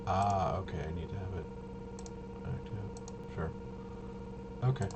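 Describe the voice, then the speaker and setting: A man's voice: low voice, fairly even intonation, measured pace, lo-fi sound, very noisy. A man talking casually and thinking aloud through a game walkthrough, rambling and muttering to himself with playful little interjections. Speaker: host of a tabletop RPG channel.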